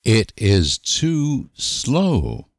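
A man reading short example sentences for language learners with deliberate, careful enunciation. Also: mid-range voice, wildly swooping pitch, vocal fry, no room sound, clean studio sound. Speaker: language teacher.

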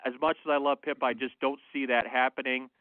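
A man giving measured, analytical, opinionated sports commentary in a conversational way, as a motorsport analyst on a radio show. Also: medium pitch, fairly even intonation, no room sound. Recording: phone-like, narrow-band dry line.

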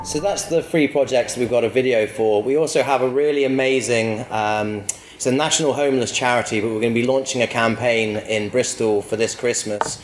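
A man's voice: mid-range voice, steady tone, brisk, over music. Man presenting in an echoey venue. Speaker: company founder and CEO presenting. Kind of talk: informal public presentation. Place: stage at an indoor event venue. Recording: echoey live venue, faint noise.

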